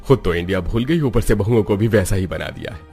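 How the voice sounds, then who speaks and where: A man playing a deep-voiced aging family patriarch. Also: animated, quick pace, darkish tone. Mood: wistful. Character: homesick, stern aging father, head of the household. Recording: background music under the voice.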